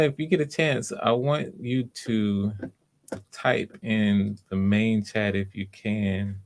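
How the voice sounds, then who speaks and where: Low-voiced, gravelly man hosting online. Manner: effusive encouragement, repeats phrases, halting. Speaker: audition host, writer-director. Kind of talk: casual live-stream chat. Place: online video call.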